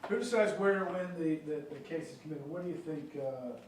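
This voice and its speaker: A man's voice: on the high side, animated, fairly quick. Man explaining law to a jury, echoey courtroom. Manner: conversational, many fillers and check-ins like "okay?". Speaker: prosecutor questioning jury panel. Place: large courtroom. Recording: echoey courtroom picked up from far off, light noise.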